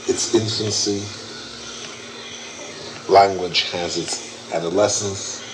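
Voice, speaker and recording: Low-voiced male teacher for a casual q&a, emphatic, repeats himself, noisy room. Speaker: teacher answering questions. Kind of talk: informal Q&A lecture.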